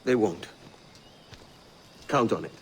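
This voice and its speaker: A roguish young pirate captain in a video game. He talks tersely in a fairly low voice, wry and sure of himself, with faint ambience behind him. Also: slow pace, creaky, a bit bright, slight background noise, full character voice. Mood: wry, confident. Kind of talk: video game cutscene acting.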